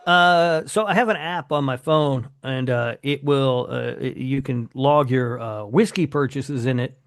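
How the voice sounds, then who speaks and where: A man's voice: medium pitch, a little slow, a little hiss or hum. A man co-hosting a podcast in casual, conversational chat. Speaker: podcast co-host.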